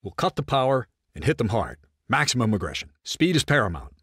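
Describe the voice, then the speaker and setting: Composed military commander, expressive and dry-recorded. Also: male voice, medium pitch, fairly quick, slightly rough, no room sound. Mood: grave, businesslike. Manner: clipped, businesslike, authoritative. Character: composed, brisk military intelligence commander running covert operations. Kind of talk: video game cutscene dialogue, mission briefing.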